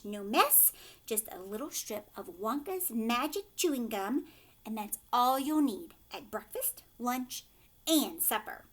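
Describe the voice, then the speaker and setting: Woman playing an excitable, grandiose male candy inventor. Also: fairly high pitch, full character voice. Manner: grandiose, emphatic salesman-like delivery.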